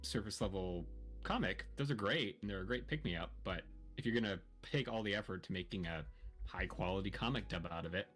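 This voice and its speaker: A man's voice: low-pitched, fairly quick, fairly bright tone. A man joining in casual livestream banter with the hosts. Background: faint background music.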